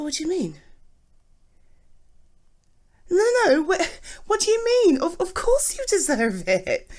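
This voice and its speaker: A British woman acting as a doting, supportive girlfriend in an intimate ASMR roleplay, affectionate and halting as she talks to her partner. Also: medium pitch. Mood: concerned, affectionate. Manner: gushing, affectionate, halting with sighs and repeats.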